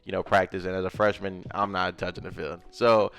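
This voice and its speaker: A man's voice: deep voice, flat delivery, brisk, creaky, a bit bright, clear, resonant tone. A young man from Memphis with a Southern accent, casually telling his life story while drawing, rambling and adding little sound effects. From Memphis, Tennessee. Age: young adult. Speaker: gaming content creator.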